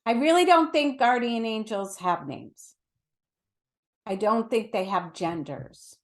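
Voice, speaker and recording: A woman's voice: on the high side, lively intonation, slow pace. A middle-aged woman giving conversational spiritual teaching as an interview guest, earnest and emphatic, explaining with everyday examples.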